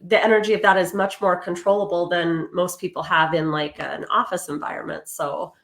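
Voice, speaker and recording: A woman's voice: on the low side, fairly even intonation, fairly quick, a bit bright. A woman hosting a casual spiritual podcast, chatting with a guest and explaining terms to viewers over a livestream. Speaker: podcast host. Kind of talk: casual spiritual interview chat. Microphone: livestream video call.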